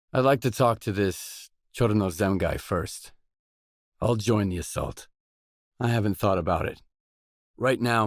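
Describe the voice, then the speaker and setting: Guarded game drifter, low pitch, terse, matter-of-fact, very dry studio-style sound. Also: male voice, no echo. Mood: measured, probing. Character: guarded, terse male drifter searching a dangerous zone. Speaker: video game protagonist. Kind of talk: video game dialogue lines.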